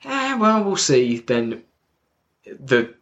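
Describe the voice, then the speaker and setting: A man's voice: medium pitch, very animated, very slow, a bit bright, dull audio. A man in casual, informal conversation, explaining things as a co-host, with some wry humour, recorded on a built-in microphone. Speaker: podcast co-host, manga fan explaining to newcomer. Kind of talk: casual chat.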